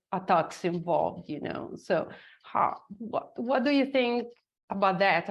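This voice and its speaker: Woman in an academic chat, talks slowly, searches for words, dull lo-fi call sound. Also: medium pitch. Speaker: conference participant asking a question. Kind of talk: informal academic discussion. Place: virtual conference call. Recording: dull, lo-fi video call audio.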